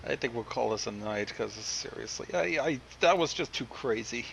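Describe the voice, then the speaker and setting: A man hosting an online fan fiction reading, chatting casually with swearing in an excitable, exasperated way. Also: medium pitch, normal speed, creaky. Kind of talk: casual, profane online chat.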